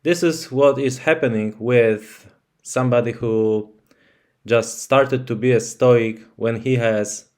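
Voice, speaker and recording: A man's voice: medium pitch, steady tone, takes it slowly, darkish tone. A man whose first language is Polish, speaking English as a channel host to his viewers in a thoughtful, hesitant way with many fillers. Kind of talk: slow casual talk to camera.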